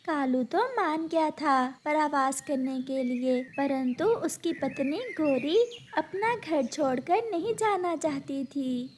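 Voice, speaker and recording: A woman voicing a kind, patient mother sparrow in a children's cartoon, explaining gently. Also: sits high, takes it slowly, a little hiss or hum. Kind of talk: Hindi children's cartoon story dubbing.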